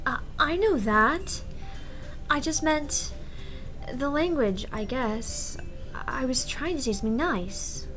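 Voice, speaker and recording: Visual novel schoolgirl, played by a woman: prim poet, highish voice, hesitant, stammers when rattled, faint music behind. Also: animated, slow, fairly bright tone, heavily acted. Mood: hesitant, apologetic. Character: prim, shy, easily flustered high-school girl poet. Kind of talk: visual novel voice-over read aloud.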